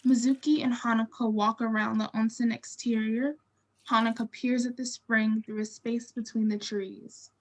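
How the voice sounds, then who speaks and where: A woman narrating stage directions from a script in a measured, neutral, descriptive way. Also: fairly high pitch, level tone, poor audio.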